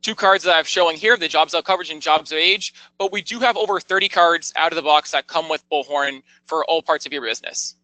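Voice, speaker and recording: A man narrating a product tour as a software demonstrator, explaining things step by step in a conversational, informal way. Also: on the high side, fairly quick, few pauses, dry recording, low-quality recording.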